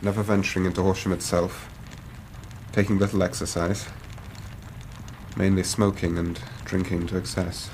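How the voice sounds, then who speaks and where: An actor playing an earnest, troubled young English gentleman, narrating in a measured, formal way for a radio drama. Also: deep voice, flat delivery, background noise, natural voice. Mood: troubled, reflective. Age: young adult.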